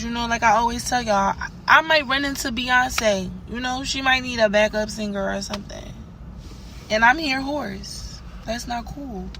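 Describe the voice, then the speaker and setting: A young woman, hoarse for days, hosting a podcast and giving rambling, conversational spiritual advice with plenty of fillers. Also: medium pitch, a bit bright, a little hiss or hum, rough recording. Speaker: podcast host. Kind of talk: casual spiritual advice monologue.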